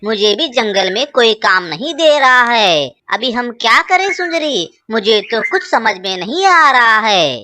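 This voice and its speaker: Woman as humble male cartoon crow, high bright voice, slow lively delivery, earnest pleading. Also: slight background noise, full character voice. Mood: worried, pious. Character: meek, pious, jobless male crow husband. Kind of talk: children's cartoon voice acting in Hindi.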